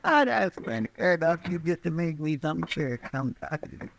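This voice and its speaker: A folksy western sidekick, pitched fairly high with a drawl. He sounds like a simple, good-natured helper in a classic radio drama with some noise. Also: sing-song, normal speed, vocal fry, fairly bright tone, heavily acted. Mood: gleeful. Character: gleeful, folksy marshal's helper winning at cards. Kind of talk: old time radio western acting.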